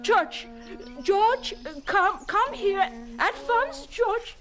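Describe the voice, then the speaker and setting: A kindly German farm wife. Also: female voice, extremely high pitch, drawn-out words, heavily acted. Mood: gentle. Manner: gentle, affectionate, laughing often. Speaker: farmer's wife Susan.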